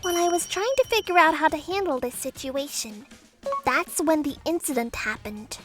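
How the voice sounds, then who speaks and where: Woman voicing a very high, bright, anxious young wife. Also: normal speed, soft background music. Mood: narrating, mildly troubled. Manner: expressive, flustered. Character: anxious, conflict-shy young newlywed wife. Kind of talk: manga dub first-person narration and dialogue.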